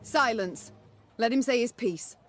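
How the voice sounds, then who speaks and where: A woman's voice: on the high side, lively intonation, a little slow. A woman playing a proud, wry warrior queen in a video game, speaking in stern, regal, archaic phrases. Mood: stern, defiant.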